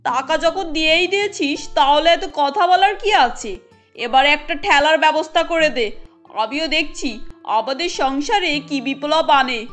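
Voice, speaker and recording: A woman's voice: high voice, unhurried, a bit bright, soft background music. A woman voicing a sour, sarcastic older mother-in-law in a family cartoon. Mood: scornful. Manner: taunting, sarcastic.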